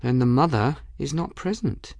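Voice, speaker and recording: A man's voice: mid-range voice, expressive, moderate pace, vocal fry, darkish tone, no echo, pitched above the performer's own voice. A man voicing a brave, practical young girl with an earnest, formal and firm manner in a storybook reading. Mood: determined.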